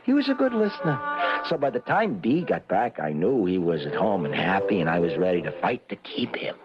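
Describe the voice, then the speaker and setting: A stammering, henpecked radio-drama husband. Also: male voice, low voice, very animated, a little fast, music in the background. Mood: defensive, rueful. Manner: hesitant, stammering, placating. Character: timid, sheepish, henpecked working-class husband and horse lover. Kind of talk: old-time radio comedy drama acting. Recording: dull lo-fi old radio recording.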